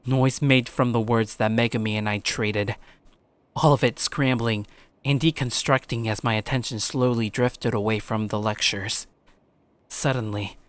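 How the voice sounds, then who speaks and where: A man's voice: medium pitch, a bit bright, lightly acted. A man playing an earnest, troubled teenage schoolboy, telling his own story in dramatic first-person visual novel narration.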